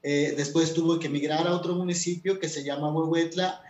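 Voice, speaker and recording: A man's voice: on the high side, fairly even intonation, brisk, darkish tone. A man from Mexico whose first language is Totonac, a poet speaking earnestly and hesitantly as a guest in a remote interview. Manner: earnest, hesitant, many fillers. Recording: echoey lo-fi remote audio.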